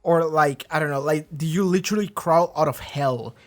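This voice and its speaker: Man rambling casually, higher voice. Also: moderate pace. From Argentina. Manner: loose, swearing, many fillers and restarts. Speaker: reaction video host.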